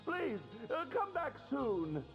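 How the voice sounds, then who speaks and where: A nervous, apologetic young game character. His voice sits very high for a man and moves animatedly, with polite hesitations and stammers. The sound is slightly dull. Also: wildly swooping pitch, slow pace, vocal fry, over music, full character voice. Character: timid, apologetic young man hiding inside a box. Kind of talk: video game character acting.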